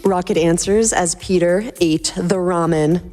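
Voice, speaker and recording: A woman's voice: lowish voice, steady tone, unhurried, dark tone, soft background music. A woman reading scripted story narration expressively as a podcast host.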